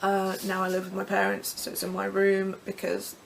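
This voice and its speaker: A young Englishwoman with a croaky throat, rambling casually through vlog questions from her bedroom with plenty of ums and restarts. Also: mid-range voice, completely flat delivery, moderate pace, a little hiss or hum, low-quality recording. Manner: chatty, self-deprecating, many ums and restarts. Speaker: pagan vlogger. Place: bedroom in front of her altar.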